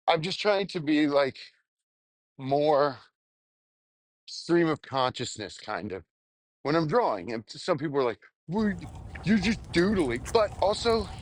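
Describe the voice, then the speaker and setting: A man talking casually to his audience in a rambling, self-deprecating spoken journal, halting and crudely sweary. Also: fairly high pitch, no room sound, low-quality recording. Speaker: vlogger.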